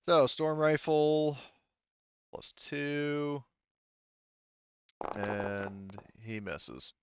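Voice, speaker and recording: A man's voice: fairly high pitch, very slow, clear, resonant tone, no echo, lo-fi sound. A man casually running a tabletop roleplaying game as game master, thinking aloud as he narrates.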